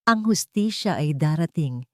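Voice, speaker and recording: A woman's voice: sits low, expressive, measured pace, no echo, studio quality. Cold, scheming villainess, as a woman narrator plays her. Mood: cold, menacing. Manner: commanding, controlling.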